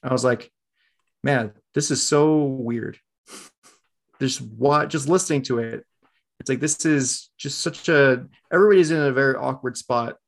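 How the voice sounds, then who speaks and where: Chill podcast host guy, gravelly voice, easygoing rambling chat. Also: medium pitch, measured pace. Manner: laid-back, conversational, trailing off.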